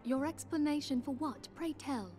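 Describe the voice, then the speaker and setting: A woman's voice: high-pitched, normal speed, warm tone, soft background music, close to an everyday voice. An actress playing an earnest, resolute noblewoman who leads a fantasy order in a video game, with stately old-fashioned speech. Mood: concerned. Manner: formal, stately archaic diction. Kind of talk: dramatic video game voice acting.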